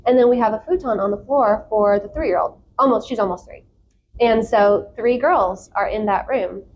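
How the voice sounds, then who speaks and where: Chatty American woman, slightly high voice. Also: normal speed, lo-fi sound, slight background noise. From South Florida, United States. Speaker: podcast guest. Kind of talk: casual conversational interview answers.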